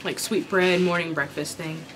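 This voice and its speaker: A young woman from Kentucky chatting casually while eating, her voice raspy from a sore throat, rambling with many ums. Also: on the low side, fairly even intonation, normal speed, noisy background. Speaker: vlogger. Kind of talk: casual mukbang chat. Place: small indoor room with dark curtain backdrop.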